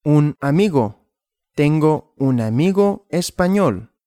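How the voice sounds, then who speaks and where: A man teaches a language lesson at a very slow, careful pace, asking simple questions and answering them himself. His pitch rises and falls widely, and the sound is dry and close. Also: animated, dark tone, no room sound. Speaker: Spanish teacher.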